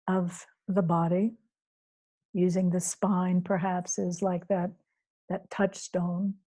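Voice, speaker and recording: A woman teacher leading a guided meditation in a soothing, unhurried way, leaving long pauses between phrases. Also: lowish voice, warm tone. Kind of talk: guided meditation, spoken off the cuff to a group.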